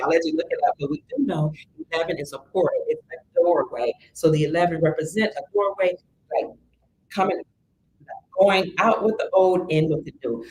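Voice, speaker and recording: A woman from Cleveland hosting a livestream in a chatty, excitable way, reading viewer comments and greeting them as sister. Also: lowish voice, slight background noise, poor audio. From Cleveland, Ohio. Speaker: livestream host.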